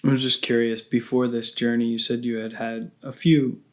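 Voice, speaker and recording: A man's voice: medium pitch, little pitch movement, normal speed. A man hosting an interview, asking questions conversationally in tentative, hedged phrasing. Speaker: interviewer, host.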